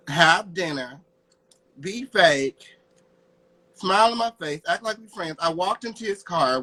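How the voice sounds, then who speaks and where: A man's voice: fairly high pitch, sharp tone, rough recording. An American man in casual, gossipy confrontation, sassy and slangy, in the role of a talk host. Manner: sassy, slangy, addresses listener as girl.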